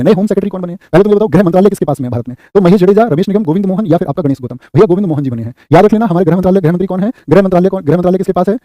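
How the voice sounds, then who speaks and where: Rapid-fire exam coach, man. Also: sits high, dark tone, no echo, clean studio sound. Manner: rapid, repeats answers and asks "what will you say?". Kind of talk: live online class, quizzing students.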